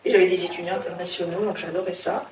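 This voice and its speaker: A woman's voice: lowish voice, flat delivery, fast talker. A middle-aged woman from France, chatting as an interview guest and telling her story with frequent hesitations and restarts. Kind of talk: casual interview talk. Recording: roomy, distant mic, faint background hiss.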